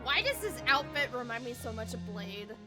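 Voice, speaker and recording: A woman's voice: a little high, big pitch swings, moderate pace. A woman streaming a video game live, giving casual, playful and chatty commentary as she reacts. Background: loud game music.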